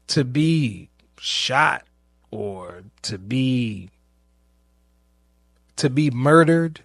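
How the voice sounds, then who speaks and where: A man hosting a podcast, speaking in a reflective personal monologue, informal and searching, with long pauses, repeated phrases and questions put to himself. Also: mid-range voice, no room sound, clean studio sound. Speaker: podcast host.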